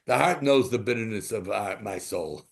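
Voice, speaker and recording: A man's voice: fairly low pitch, lively intonation, moderate pace, creaky. A man giving an informal Torah class, asking rhetorical questions and mixing in Hebrew terms as he drifts into side stories. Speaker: Torah teacher.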